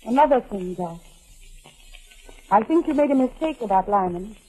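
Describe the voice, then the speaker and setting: Shrewd, higher-voiced radio mystery woman. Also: expressive, moderate pace, warm tone, husky, rough recording. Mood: urgent, persuasive. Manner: insistent, controlling, urgent. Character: shrewd, persuasive woman steering her fugitive lover. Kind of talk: 1940s radio mystery acting.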